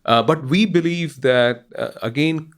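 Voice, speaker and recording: A middle-aged man with an Indian accent, a guest explaining his technical work in a measured way with many pauses. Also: on the high side. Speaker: guest scientist.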